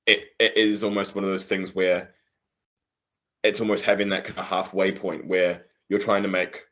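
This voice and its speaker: A man's voice: sits low, level tone, normal speed, a bit bright, dull audio. A man with a Southland New Zealand accent asking a long, rambling interview question full of fillers. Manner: rambling, filler-heavy, many likes.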